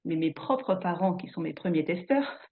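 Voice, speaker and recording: A woman's voice: fairly low pitch, expressive, normal speed. A woman hosting a casual interview, stammering and restarting as she talks. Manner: stammering restarts, laughs easily. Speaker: host, channel founder. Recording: phone-like video call audio.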